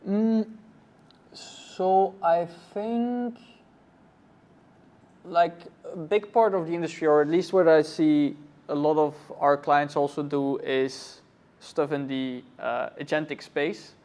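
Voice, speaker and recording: A man from Belgium answers questions about his technical work. He speaks in a considered, halting way, with frequent ums. His voice sits a little higher than most men's. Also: fairly even intonation, darkish tone. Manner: thoughtful, many ums and uhs. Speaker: cloud architect, interview guest. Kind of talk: conference interview.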